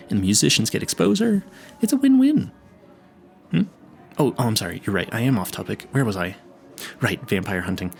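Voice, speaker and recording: A man acts out a clueless young vampire hunter in an audio roleplay. His pitch swings widely as he stammers and rambles, nervous and eager, with a creaky edge to his voice. He talks straight to the listener and keeps losing his thread in earnest tangents. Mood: eager, rambling.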